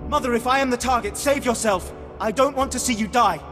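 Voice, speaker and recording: A man's voice: extremely high pitch, fairly quick. A man playing an earnest, brave young acolyte in a video game, impassioned and melodramatic. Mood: desperate defiance. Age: young adult. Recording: long hall reverb, music.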